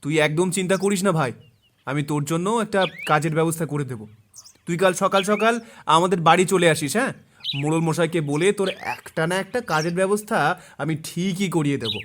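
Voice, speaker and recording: A high-pitched male voice from an actor plays a friendly young villager in a cartoon. He is inquisitive and reassuring. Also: quick pace, fairly bright tone, a little hiss or hum, lightly acted.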